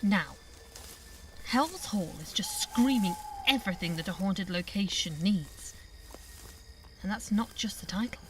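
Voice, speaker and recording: An actress playing a theatrical television presenter of a live ghost-hunting show, speaking with suspense in an audio drama. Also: female voice, medium pitch, expressive, measured pace, long pauses, noisy background, lightly acted. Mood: ominous.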